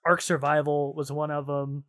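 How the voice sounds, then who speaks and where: A man's voice: on the high side, little pitch movement, moderate pace, fairly bright tone. A man co-hosting a podcast, chatting casually in a rambling, slangy, enthusiastic way about games and shows. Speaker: podcast co-host.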